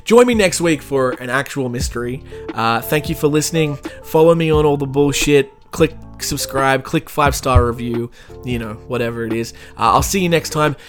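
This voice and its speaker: A man's voice: mid-range voice, normal speed, soft background music. A man hosting his own podcast, reading a history story and then rambling and joking at himself in a casual sign-off. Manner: self-mocking, rambling, jokey. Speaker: podcast host.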